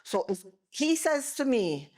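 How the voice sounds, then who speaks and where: A middle-aged Jamaican woman, an attorney, giving a loud, animated seminar presentation with worked examples to a live audience on a microphone. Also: high voice, takes it slowly. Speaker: attorney and former bar president giving a seminar. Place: seminar room before an audience. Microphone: microphone she moves away from.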